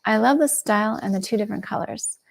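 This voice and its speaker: A middle-aged woman hosts a shopping livestream in a casual, enthusiastic way. Her voice sits at a middle pitch with a noticeable gravelly fry, and she slips into soft, near-whispered asides. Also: fast talker. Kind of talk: casual product presentation.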